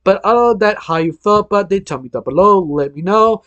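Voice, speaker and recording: Man, news host, hoarse from being sick, rambling with fillers, a bit high-pitched, dry close recording. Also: lively intonation, fairly quick, a bit bright, no echo, highs cut off. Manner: rambling, heavy use of "sort of", frequent restarts. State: hoarse, losing his voice from illness. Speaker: cartoon news channel host. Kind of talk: casual spoken news roundup.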